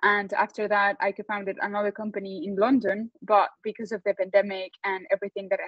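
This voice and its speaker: Panel guest: young Spanish-native woman from Mexico City, loose and chatty, flat dry video-call sound. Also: mid-range voice, dry recording, lo-fi sound. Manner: relaxed, frequent fillers and laughs. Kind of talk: casual online panel talk.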